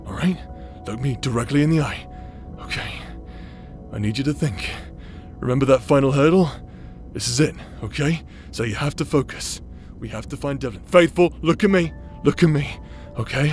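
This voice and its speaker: A man's voice: on the high side, lightly acted. A man acting out a cocky, battle-worn adventurer, protective and teasing, in an audio roleplay. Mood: urgent, soothing.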